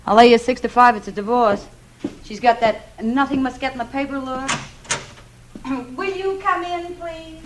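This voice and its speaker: A woman's voice: high voice, normal speed, lightly acted. A woman playing a cheeky, wisecracking secretary in 1940s radio drama style, breezy and knowing. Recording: old radio recording, faint noise.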